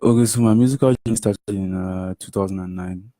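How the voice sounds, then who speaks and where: A man, a musician guest telling his story in a radio interview, easy and unhurried with pidgin phrases. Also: deep voice, warm tone, no echo. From Nigeria.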